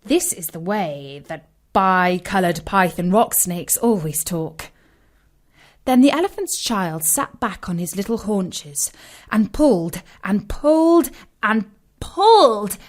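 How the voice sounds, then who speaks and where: Female storyteller for children's tales: unhurried delivery, mid pitch, expressive and emphatic. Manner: expressive, deliberate, emphatic repetition. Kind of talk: scripted children's story narration.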